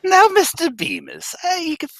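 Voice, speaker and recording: A man voicing a stern bank president who lectures his employee in a pompous, emphatic way. The voice is bright and forceful, swinging widely in pitch, and recorded dry and close. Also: wildly swooping pitch, unhurried, dry recording, heavily acted. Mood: stern lecturing. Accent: American. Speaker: live script reader. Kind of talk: live radio-play reading.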